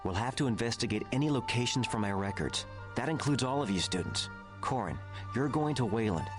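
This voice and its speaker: A man voicing a calm, earnest Jedi master giving formal briefings in a video game. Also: medium pitch, a little fast, over music, close to an everyday voice. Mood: calm authority.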